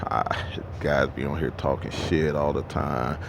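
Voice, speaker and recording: A man's voice: very deep voice, steady tone, unhurried, vocal fry, background noise. A truck driver in his thirties talking casually to camera at a truck stop, rambling and profane, with many restarts. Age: 30s.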